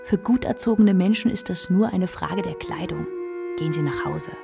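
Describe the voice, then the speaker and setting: An actress playing a worldly, self-possessed kept woman of the theatre in a radio play, courteous and theatrical, with a husky, gravelly voice. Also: fairly low pitch, moderate pace, music in the background. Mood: confident, reassuring. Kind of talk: German radio play acting.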